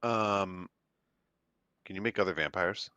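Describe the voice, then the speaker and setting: A man's voice: sits low, unhurried, sharp tone, smooth, no echo. A man playing a tabletop game, bantering casually with joking, quizzical questions.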